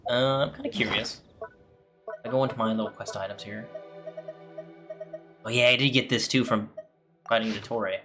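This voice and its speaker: A man's voice: mid-range voice, expressive, normal speed, pauses often, sharp tone. A man streaming a game, giving casual, laid-back, rambling commentary as he plays. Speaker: gaming streamer. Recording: faint game music behind.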